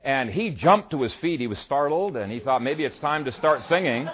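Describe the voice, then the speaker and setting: A man from Ethiopia preaching a sermon, conversational and easygoing, often pausing with "uh" as he tells a story and explains scripture. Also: medium pitch, animated, dull audio. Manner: conversational storyteller, frequent "uh" fillers. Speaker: pastor. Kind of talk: sermon with a humorous opening story.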